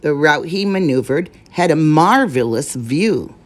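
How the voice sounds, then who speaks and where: Low-voiced, slow-paced woman storyteller. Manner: measured, expressive rhyming narration. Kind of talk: children's picture book read aloud.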